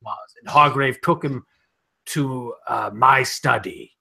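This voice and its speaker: A man playing a chatty, flippant gentleman householder in an improvised radio-drama parody, rambling and mock-patient. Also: medium pitch, slow, creaky, slightly rough, soft background music, heavily acted. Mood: flustered, chatty.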